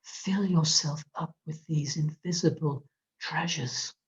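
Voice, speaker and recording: A meditation guide leading a guided visualization in a soft, often whispered voice, speaking gently with soothing repetitions. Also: mid-range voice, husky. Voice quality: soft, frequently whispered delivery. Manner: slow, gentle, drawn-out phrasing with soothing repetitions. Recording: dull lo-fi recording.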